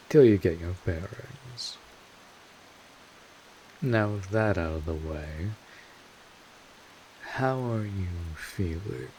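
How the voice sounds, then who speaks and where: Man voicing a world-weary vampire mentor, male, deep voice, very slow unhurried delivery, casual, dryly sardonic, protective, intimate audio drama. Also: a little mellow, slight background noise, rough recording. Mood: matter-of-fact, caring.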